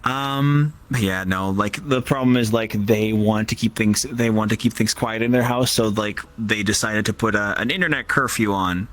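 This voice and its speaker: A man's voice: lowish voice, normal speed, hardly any pauses, fairly bright tone. A man in his twenties chatting casually as a guest, hesitant with frequent restarts and ums. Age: 20s. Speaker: guest streamer. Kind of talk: casual chat.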